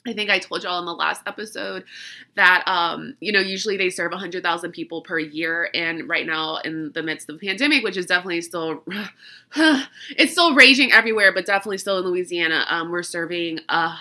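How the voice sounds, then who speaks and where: Stuffy-nosed lady podcasting, chatty and laughing at herself, really bright tone. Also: on the low side, a little fast. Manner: chatty, laughs often, self-deprecating. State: congested from allergies. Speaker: podcast host. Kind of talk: casual chat.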